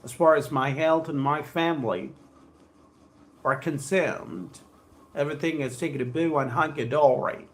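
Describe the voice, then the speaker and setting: A man's voice: fairly high pitch, normal speed, a bit bright. A man with a South Indian accent teaching English on a live stream, reading aloud and addressing viewers in an effusive, formal way, repeating his phrases. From Andhra Pradesh, India. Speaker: spoken English trainer hosting a live stream.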